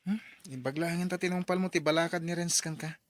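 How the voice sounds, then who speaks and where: An actor playing a patient, gentle young suitor in a radio drama, with an Ilocano accent. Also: male voice, a little high, fairly even intonation, quick pace, close to an everyday voice. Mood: gentle, sincere.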